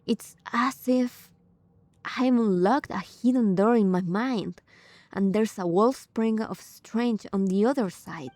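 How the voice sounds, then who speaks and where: A woman gives casual gameplay commentary at a slow, easy pace. Her voice is mellow and sits at a middle pitch. The recording is very dry, with hardly any room on it. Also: no room sound. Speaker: game streamer. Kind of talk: casual gameplay reactions and reading aloud.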